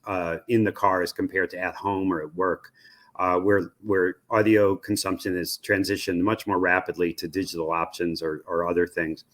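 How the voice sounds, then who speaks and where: A man with an American accent and a fairly low voice answers as an expert guest in an interview. He explains conversationally, thinking aloud and adding asides as he goes. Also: fairly bright tone. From United States. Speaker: media research executive, interviewee.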